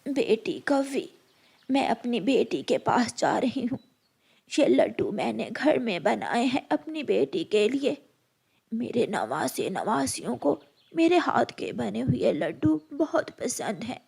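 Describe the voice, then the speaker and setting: A woman voicing a frail, anxious elderly crow grandmother in a cartoon story, speaking haltingly and repeating her words. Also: fairly high pitch, big pitch swings, vocal fry, darkish tone, breathy edge, exaggerated voice. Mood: gentle. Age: older.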